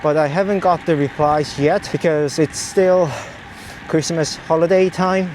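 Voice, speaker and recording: A man explaining things in short, halting phrases, vlogging outdoors while out riding on the road. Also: fairly high pitch, steady tone, darkish tone, poor audio. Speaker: vlogger, cyclist testing camera gear. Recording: clear outdoor road and traffic noise. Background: ambience and vehicle noise.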